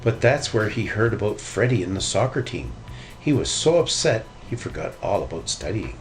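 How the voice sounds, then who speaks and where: A man narrating a children's story in an expressive read-aloud style. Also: on the low side, moderate pace, soft background music, rough recording. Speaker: storybook reader.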